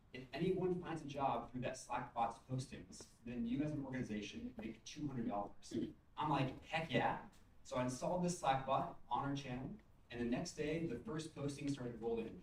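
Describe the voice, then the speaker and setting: A young man delivering a rehearsed startup pitch as a student founder. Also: a little mellow. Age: young adult. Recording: roomy, distant mic, faint noise.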